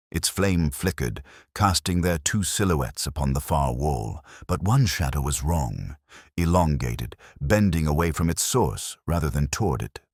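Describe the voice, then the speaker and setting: Very deep, husky male mystery narrator. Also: dry recording, clean studio sound. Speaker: audiobook narrator. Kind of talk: dramatic scripted mystery narration.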